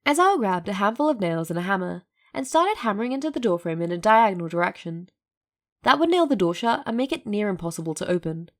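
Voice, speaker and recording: A woman's voice: medium pitch, big pitch swings, fast. A woman narrating a piece of fiction from a script, as a storyteller. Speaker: narrator, fan fiction reader.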